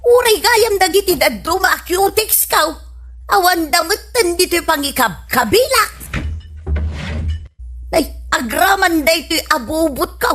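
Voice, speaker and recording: A woman's voice: very high voice, expressive, measured pace, fairly bright tone, slight background noise, full character voice. A woman with an Ilocano Filipino accent playing an exclamatory, scolding housewife in a radio comedy drama. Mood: puzzled, suspicious. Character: scolding, vain Filipino housewife, shocked and suspicious.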